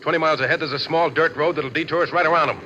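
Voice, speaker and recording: An actor playing a tough, determined young bank robber with a terse manner in a 1940s radio drama. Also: male voice, a little high, steady tone, very fast, pushed and bright. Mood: tense, determined. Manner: terse, commanding. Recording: dull, noisy 1940s radio recording.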